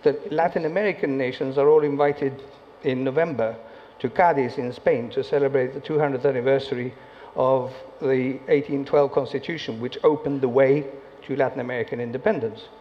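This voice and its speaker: Deliberate man in echoing hall. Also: medium pitch, steady tone. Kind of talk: panel discussion answer. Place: conference hall. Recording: muffled audio in a reverberant hall, faint noise.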